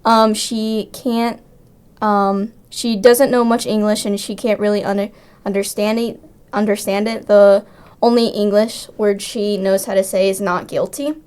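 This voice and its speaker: A woman's voice: mid-range voice, little pitch movement, measured pace, darkish tone, clean tone. A teenage girl, a student director and cast member, answering interview questions chattily with lots of ums and likes. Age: teens.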